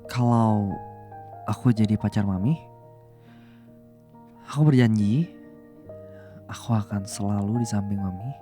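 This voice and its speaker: A man's voice: lowish voice, fairly even intonation, unhurried, long pauses, lightly acted. A young man playing a flustered, devoted younger boyfriend in a romantic audio roleplay, hesitant and tender, over music. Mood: tender, earnest. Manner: hesitant, stammering, soft and tender. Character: flustered, devoted young man in love with his older female boss. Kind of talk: romantic ASMR roleplay acting. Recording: music behind the voice.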